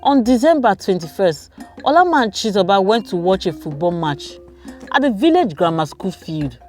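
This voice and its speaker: Animated woman storyteller. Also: mid-range voice, normal speed, soft background music. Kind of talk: scripted children's folktale narration.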